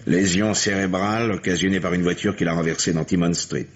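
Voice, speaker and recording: An actor playing a methodical, insinuating police detective in a French radio mystery drama. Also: male voice, sits low, fairly even intonation, fairly quick, slight background noise, close to an everyday voice. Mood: methodical, matter-of-fact. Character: methodical, probing police detective questioning a widower. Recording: muffled vintage radio drama recording.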